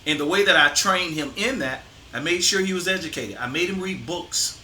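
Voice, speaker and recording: A man's voice: a little high, fairly quick, bright, forceful tone. A middle-aged man hosting a casual conversation, firm and emphatic, pushing his voice up to stress points. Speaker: host, father interviewing his son. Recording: echoey room with faint noise.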